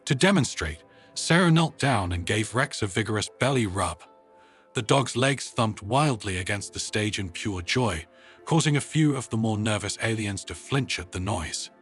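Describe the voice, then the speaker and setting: Expressive male story narrator, slightly husky. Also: fairly low pitch, normal speed, soft background music. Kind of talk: scripted audiobook-style narration.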